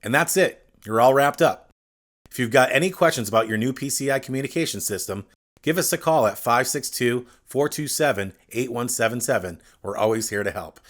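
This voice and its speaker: A man reading a scripted step-by-step tutorial, addressing the viewer directly in a clear instructional manner. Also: medium pitch, expressive, fairly quick, a bit bright. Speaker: instructional video narrator.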